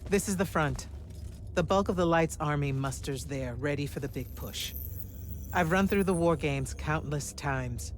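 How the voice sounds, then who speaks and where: Stern, biting army general. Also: female voice, low-pitched, unhurried, slight background noise. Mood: grave. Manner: authoritative, biting, sardonic. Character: stern, commanding female army general, exasperated. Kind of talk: dramatic video game cutscene acting.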